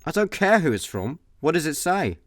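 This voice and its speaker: A man's voice: fairly high pitch, very animated, normal speed, dry recording, clean studio sound. A man with a Scottish accent playing a laid-back, dim, easily distracted slacker in a comedy sci-fi radio play. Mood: puzzled. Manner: casual, offhand.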